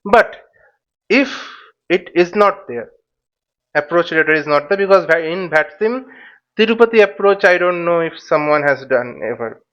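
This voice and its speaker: A man giving an informal step-by-step tutorial as an instructor, speaking haltingly and repeating his words and phrases. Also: on the high side, animated, a bit bright. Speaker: flight simulation instructor. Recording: dull, lo-fi microphone sound.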